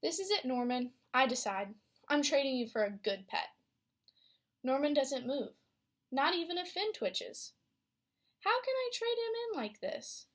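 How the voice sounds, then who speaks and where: A young woman, a student teacher, reading a picture book aloud to a class with expressive storytelling and questions for the children. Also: high voice, unhurried, pauses often. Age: young adult.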